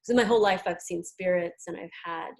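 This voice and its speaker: A woman from New York with an American accent, talking casually as an interview guest, chatty with hesitant pauses. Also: on the low side, gravelly. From New York, United States. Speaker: interview guest, tarot deck creator and artist.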